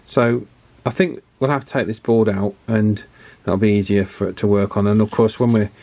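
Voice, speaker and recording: A man with a lowish voice talks through a radio repair at his bench. He explains each step in a relaxed way, thinking aloud with plenty of ums and restarts. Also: normal speed. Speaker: electronics repair YouTuber. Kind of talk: casual repair walkthrough narration.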